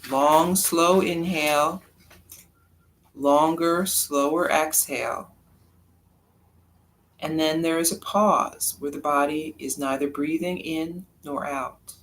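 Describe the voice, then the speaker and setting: A yoga nidra teacher leading a guided meditation in a calm, unhurried, soft-spoken way with long pauses. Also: medium pitch, slight background noise, poor audio.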